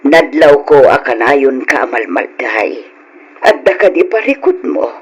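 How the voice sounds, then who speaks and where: An actor with an Ilocano accent playing a concerned, gently probing older parent in an old radio drama. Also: male voice, medium pitch, sing-song, unhurried, slightly rough, poor audio.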